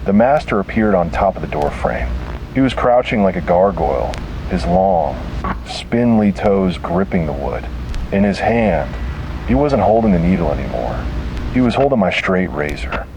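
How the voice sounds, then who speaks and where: A man delivering scripted first-person horror narration in a grave, dramatic manner with deliberate pauses. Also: low voice, very animated, noisy background.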